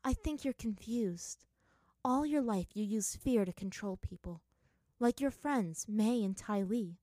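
A woman voicing a sad, gentle mother who appears as a vision in a story, cooing mournfully. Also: medium pitch, expressive, a little slow, no room sound, studio quality, lightly acted. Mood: tender, sorrowful.

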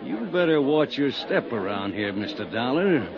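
An actor playing a hostile, officious small-town sheriff in a 1950s radio drama, curt, defensive and threatening. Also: male voice, medium pitch, very animated, normal speed. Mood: stern, warning. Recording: dull 1950s radio recording, crowd behind.

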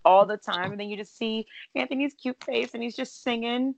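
A woman with a raspy voice hosting a casual podcast chat over a remote call, talking in a breezy way with lots of "like" and "literally.". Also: on the high side. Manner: chatty, fast-talking with many "like" and "literally". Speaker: podcast host. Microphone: remote call, cutting out at times.

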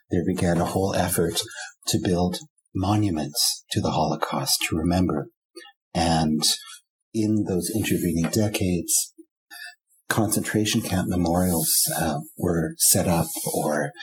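Deep husky man, measured and reflective. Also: rough recording. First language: German. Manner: measured, thoughtful, with frequent pauses and fillers. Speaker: author and interview guest. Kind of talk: reflective interview answers.

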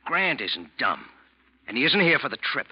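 A gruff, scheming first mate in a vintage radio play. His husky voice swings widely as he bullies and sneers with blunt sarcasm. The sound is dull and muffled, like an old broadcast. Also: mid-range voice, very animated, normal speed. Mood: menacing. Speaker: radio drama actor. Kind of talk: classic radio drama acting.

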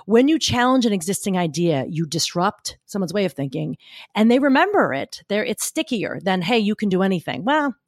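A woman guest with a gruff, gritty voice, talking emphatically and animatedly in a podcast interview. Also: medium pitch, a little fast, no echo, studio quality. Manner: emphatic, argumentative, animated storytelling. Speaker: guest speaker coach.

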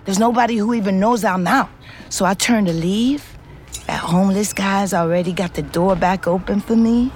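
An actress playing a streetwise, weary middle-aged ex-convict who narrates an audio drama in a frank, wistful, conversational way with slangy swearing. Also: female voice, medium pitch, darkish tone, lightly acted. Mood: confiding. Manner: conversational, halting, profane slang. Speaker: lead actor and narrator in scripted fiction podcast. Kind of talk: intimate first-person audio drama narration.

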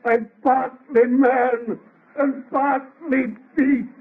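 Man-made monster, raspy and theatrical, bitter and lonely, vintage radio horror on lo-fi narrow sound. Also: very high-pitched, little pitch movement, very slow, heavily acted. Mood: bitter reproach. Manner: declamatory, anguished, theatrical. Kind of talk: 1930s radio horror acting. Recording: muffled, lo-fi vintage radio recording.

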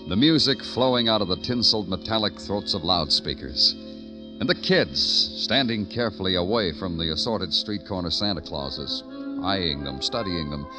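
Low-voiced brooding radio detective played by a man. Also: natural voice. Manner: deliberate, lyrical, somber. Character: weary, brooding male police detective narrating his beat. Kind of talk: 1950s radio noir acting, poetic narration.

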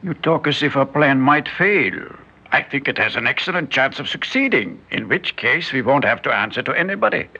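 An actor in an old-time radio drama playing a shrewd, wary, cynical doctor with a theatrical, insinuating delivery. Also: male voice, mid-range voice, animated, moderate pace, pushed and bright, breathy edge, full character voice. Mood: cynical, hushed. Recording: dull, muffled old radio recording.

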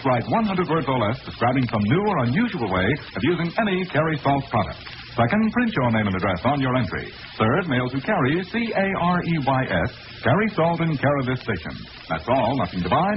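A man announcing in vintage radio sponsor style, emphatic, promotional and clearly enunciated, on an old recording. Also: mid-range voice. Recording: noisy vintage radio recording, muffled, hissy.

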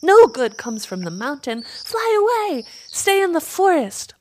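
Lady doing tiny panicky storybook birds, high and chattery, repeating everything, really excitable. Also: a little slow, full character voice. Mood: panicked. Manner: repetitive excited chirping exclamations. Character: flock of small, chattering, excitable, panicky female-voiced swifts.

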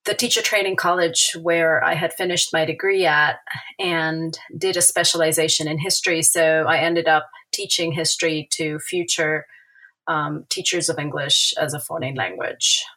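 Argentine woman guest, Spanish first language, lower bright voice, thoughtful with lots of ums. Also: fairly even intonation, normal speed, low-quality recording. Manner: thoughtful, many hesitations and fillers. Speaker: guest author, historian. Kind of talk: academic interview.

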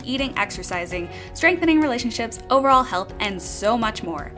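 A young woman hosts a podcast in an upbeat, bouncy way. She sprinkles "like" through her casual talk, with a faint music bed under parts of it. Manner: upbeat, bouncy pitch, says "like" a lot. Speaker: podcast host. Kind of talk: scripted intro, then casual interview.

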